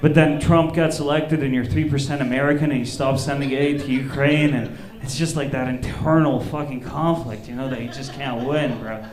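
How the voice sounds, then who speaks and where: A man's voice: medium pitch, fairly even intonation, normal speed, hardly any pauses. A man doing stand-up comedy, talking casually with lots of swearing and bantering with the crowd. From Egypt. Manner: casual, profane, conversational. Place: indoor open mic comedy venue. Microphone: stage microphone. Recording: echoey comedy club stage.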